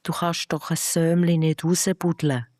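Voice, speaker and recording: A narrator as a friendly, amused little cloud, raised pitch. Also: little pitch movement, measured pace, no echo, lightly acted. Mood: amused, teaching. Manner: gently teaching, patient.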